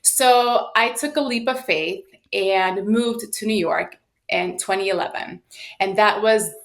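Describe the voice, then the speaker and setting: Woman, late thirties, interview guest, personal storytelling, animated and emphatic delivery, mid-range voice. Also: mid-range voice, a little slow, vocal fry. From United States and Sweden. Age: late 30s. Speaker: podcast guest, sales professional.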